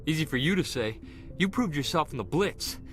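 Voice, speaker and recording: An excitable young male corporal in a video game. His fairly high voice bounces with animated pitch, earnest and impatient to prove himself. Faint music plays underneath. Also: normal speed. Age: young adult. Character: eager, restless young male soldier, a corporal.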